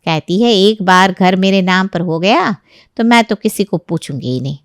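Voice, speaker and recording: A woman's voice: mid-range voice, normal speed, smooth, no room sound, studio quality, natural voice. A woman playing a sly, gossipy neighbour in a Hindi audio story, with an insinuating, persuasive way of talking. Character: scheming meddling neighbour woman, sly and gossipy, stirring quarrels.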